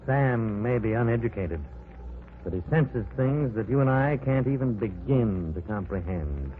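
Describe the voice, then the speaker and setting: A lively, commanding archaeology professor. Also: male voice, on the low side. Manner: authoritative, measured lecturing tone. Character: older male archaeology professor, confident, adventurous. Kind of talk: 1940s radio acting. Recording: tinny old radio broadcast with faint hiss.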